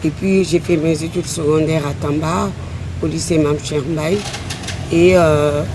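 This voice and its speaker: A woman, a guest answering interview questions in a measured way with frequent fillers. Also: low voice, steady tone, noisy background. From Senegal. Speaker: association president, guest.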